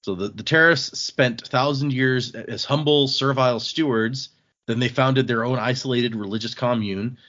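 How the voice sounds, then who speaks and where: A man's voice: mid-range voice, moderate pace, fairly bright tone, lo-fi sound. A man hosting a podcast, chatting casually and reading listener emails aloud in an easygoing, agreeable manner.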